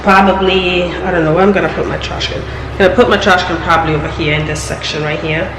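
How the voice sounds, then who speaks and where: A young woman with a raspy voice from being sick, chatting casually through a tour of her new apartment. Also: fairly low pitch, steady tone, fast. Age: young adult. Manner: chatty, rambling with many fillers. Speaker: vlogger. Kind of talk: casual home tour vlog. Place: empty apartment, indoors. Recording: echoey empty apartment with hum.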